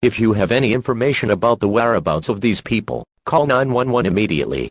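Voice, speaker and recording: Man as an impersonal emergency alert voice: formal, measured, very dry sound. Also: fairly low pitch, no room sound. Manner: flat, formal, measured delivery. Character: impersonal male emergency broadcast announcer.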